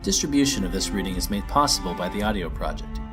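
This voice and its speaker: A man's voice: low voice, animated, fast talker, music in the background. A man reading a children's story as its narrator, in a scripted storybook style.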